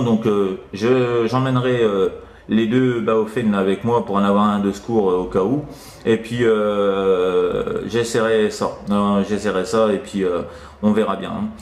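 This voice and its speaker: Man, low-pitched, hesitant rambling radio chat, echoing room. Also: steady tone, a little slow. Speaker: amateur CB radio operator. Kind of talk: casual radio contact chat. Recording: roomy, echoing room sound.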